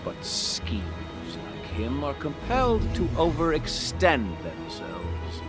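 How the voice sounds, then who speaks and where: A shrewd game mastermind giving orders. His mid-pitched voice swings widely for emphasis, deliberate and authoritative over dramatic music. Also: wildly swooping pitch. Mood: calculating. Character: shrewd commanding mastermind rallying allies. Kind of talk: dramatic video game briefing.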